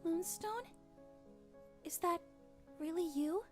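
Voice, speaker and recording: A woman plays a tender young cartoon pony with a very high, soft, sweet voice. She speaks hesitantly and with open feeling, over faint music. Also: slow pace. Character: gentle, emotional young female pony, Fluttershy. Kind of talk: animated fan audio drama acting.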